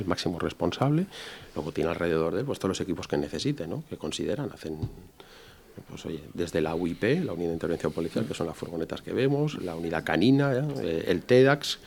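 A man's voice: sits low, creaky, a little mellow, a little hiss or hum. A man in his fifties from Spain, a security executive answering interview questions in an explanatory way, repeating his key points. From Barcelona, Spain. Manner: explanatory, repeats key phrases, rhetorical tags.

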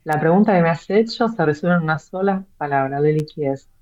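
A woman's voice: deep voice, brisk, darkish tone. A woman, an author answering interview questions, often hesitating with fillers and repeated words. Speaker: author and blockchain real estate guest. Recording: dull lo-fi sound.

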